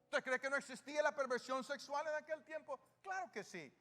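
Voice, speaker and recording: A man's voice: very high voice, a little fast, fairly bright tone. A male pastor with a Salvadoran Spanish accent, preaching a sermon and often asking his congregation questions that he answers himself. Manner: call-and-response questions to the congregation. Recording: reverberant church hall with music.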